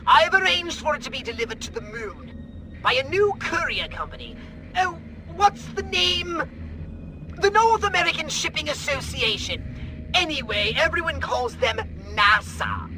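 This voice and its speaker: An actor playing an excitable, scheming alien mad scientist in a comic video game, theatrical and fussy. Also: male voice, extremely high pitch, a little slow, noisy background, full character voice.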